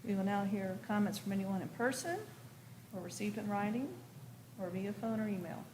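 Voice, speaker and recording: An American woman chairs a formal public meeting in a meeting room. Her voice sits at a middling pitch as she steers procedure. There is faint noise behind her. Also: fairly even intonation, moderate pace. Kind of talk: running a formal public meeting, partly reading announcements.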